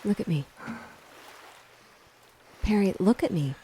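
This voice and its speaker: An actress playing a blunt, suspicious sheriff in an audio drama, direct and questioning. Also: female voice, medium pitch, takes it slowly, warm tone, slight background noise, lightly acted, poor audio. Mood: alarmed, demanding. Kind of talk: scripted audio drama acting.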